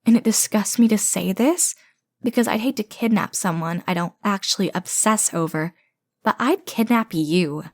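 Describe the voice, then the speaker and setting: A woman's voice: mid-range voice, normal speed, darkish tone, no echo, close to an everyday voice. A woman playing an obsessive, bickering kidnapper sister in a comedic audio roleplay, with a theatrical, boastful and rambling delivery. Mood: indignant.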